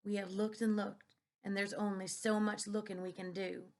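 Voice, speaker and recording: A woman's voice: mid-range voice, flat delivery, normal speed, lightly acted. A woman playing a weary, grieving preacher father. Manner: soft, tired and pleading.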